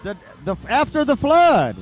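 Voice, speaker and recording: Joking man bantering onstage, very high pitch. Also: huge pitch swings, a little slow, a little hiss or hum. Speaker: stage performer addressing a concert audience.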